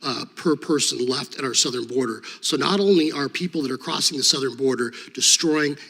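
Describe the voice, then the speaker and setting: A man's voice: medium pitch, brisk, gravelly, sharp tone. A man, a former state attorney general who grew up with Serbo-Croatian as his first language, giving earnest testimony at a congressional hearing at a desk microphone, with frequent fillers and restarts. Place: large hearing room. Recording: long hall reverb.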